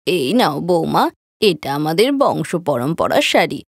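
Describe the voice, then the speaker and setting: A woman's voice: deep voice, big pitch swings, measured pace, creaky, breathy edge, no room sound, studio quality, voice pushed down, heavily acted. A woman voicing a scheming, boastful older mother-in-law in a cartoon story, bossy and blustering. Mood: coaxing, commanding.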